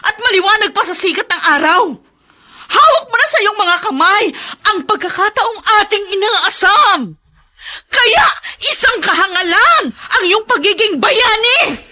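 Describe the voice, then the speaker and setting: A woman's voice: very high voice, sing-song, a little slow, bright, forceful tone, heavily acted. An actress playing an embittered, insistent mother in a melodramatic, scolding radio drama style. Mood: tearful pleading. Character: embittered, insistent mother pressing her stubborn husband.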